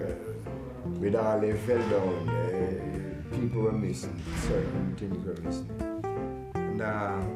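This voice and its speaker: A man's voice: sits low, roomy sound. A man in an interview thinking back on the music scene, speaking reflectively with hesitations. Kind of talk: reminiscing interview.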